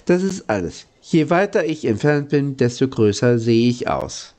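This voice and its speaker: A courteous, lonely old man acted out by a male reader speaks from a storybook. He is slow and mellow, earnest and gentle, halting as he explains himself. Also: mid-range voice, animated, natural voice. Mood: patient, explaining. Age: older.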